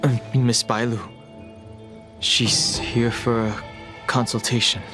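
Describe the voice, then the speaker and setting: A man's voice: mid-range voice, level tone, takes it slowly, close to an everyday voice. A man voicing a reserved, serious young man in a video game, speaking tersely. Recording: music playing behind the voice.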